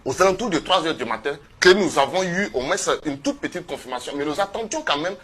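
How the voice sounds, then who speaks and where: A man giving impassioned testimony as a guest in a television studio, speaking emphatically and haltingly, often repeating phrases. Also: on the high side, animated, a bit bright, breathy edge. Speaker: activist guest on a TV panel.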